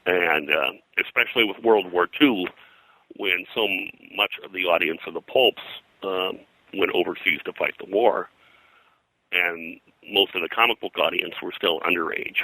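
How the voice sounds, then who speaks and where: A man speaks in a deep register with a very bright, forceful edge and a gravelly fry. He explains history conversationally as an interview guest, in a halting way that is packed with detail. The sound is dry and close. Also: no echo, rough recording. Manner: halting, detail-heavy, informative. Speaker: interviewed publisher and pop-culture historian.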